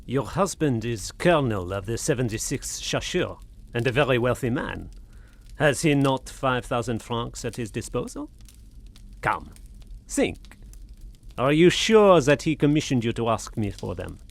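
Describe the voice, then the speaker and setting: Animated, courteous French gentleman. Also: medium pitch, a little slow, gravelly, no room sound. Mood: incredulous questioning. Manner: hesitant, courteous, questioning. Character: polite, sceptical French gentleman, radio drama cousin. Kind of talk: radio drama acting.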